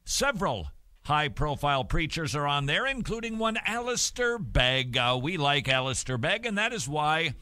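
Animated middle-aged male talk host. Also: a little high, normal speed, no echo. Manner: animated, joking, emphatic. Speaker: radio and TV show host. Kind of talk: talk show commentary with Bible reading. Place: small dim studio set. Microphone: studio mic on boom arm.

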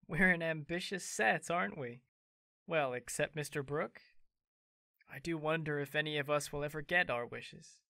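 A boyish, teasing young man, played by a woman, in a radio-style drama. The voice sits very low and sounds close and dry, without room echo. He wheedles and jokes with easy charm. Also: normal speed, gravelly, sharp tone, heavily acted. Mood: wistful. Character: cheerful teasing young man, playful neighbour boy. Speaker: voice actor in an amateur audio drama. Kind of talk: theatrical audio drama acting.

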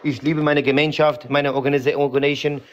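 A man's voice: wildly swooping pitch, slow, muffled recording, a little hiss or hum, full character voice. A man playing a cocky, evasive self-proclaimed freemason who speaks broken German and keeps saying "my friend". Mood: proud. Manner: broken, ungrammatical German, repeats "mein Freund"; defiant, evasive, swings from high indignant to low mutters. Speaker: comedy character in an interview sketch. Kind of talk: improvised comedy sketch.

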